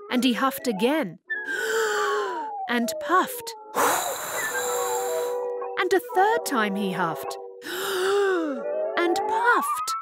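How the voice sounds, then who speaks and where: A woman narrating a children's storybook tale in a slow, expressive way. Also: extremely high pitch. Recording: children's story with loud music.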